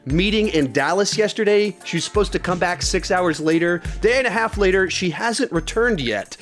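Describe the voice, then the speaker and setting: A man's voice: high voice, lively intonation, normal speed, a bit bright, soft background music. A man hosting a podcast in a casual, conversational style. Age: adult with young child. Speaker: podcast host.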